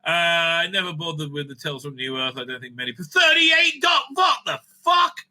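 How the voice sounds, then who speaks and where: A man's voice: fairly high pitch, very animated, fairly quick, bright, forceful tone, shouting. A man giving rambling, opinionated commentary as a video host, blunt and dismissive, often repeating himself and swearing. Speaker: YouTube host and reviewer.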